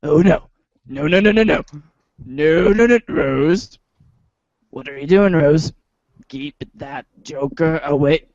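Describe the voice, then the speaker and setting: A man voicing a gruff, self-serious superhero with a gravelly, husky rasp in a pompous, melodramatic parody style. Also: on the high side, slow pace, long pauses, a little mellow, dry recording, lo-fi sound. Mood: alarmed. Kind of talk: read-along storybook parody acting.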